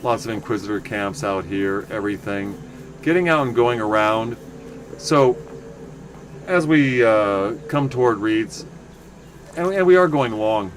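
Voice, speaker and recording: A man casually commenting on his game for viewers, rambling and correcting himself as he goes, with a loud voice. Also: fairly low pitch, measured pace, pauses often, a little hiss or hum. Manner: rambling, self-correcting, chatty asides to viewers. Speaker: livestream game player.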